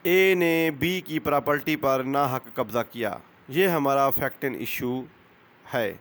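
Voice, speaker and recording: Slow, clear-voiced Pakistani man lecturing. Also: on the high side, fairly bright tone. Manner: deliberate, didactic, repeats key phrases. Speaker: lawyer giving law lessons as channel host. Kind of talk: explanatory lecture.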